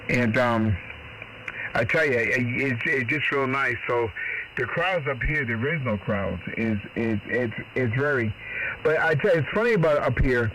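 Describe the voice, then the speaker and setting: A man's voice: mid-range voice, fairly bright tone, poor audio. Middle aged guy on ham radio, rambling and folksy, bit of background hiss. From Boston. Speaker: amateur radio operator. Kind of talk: casual radio chat.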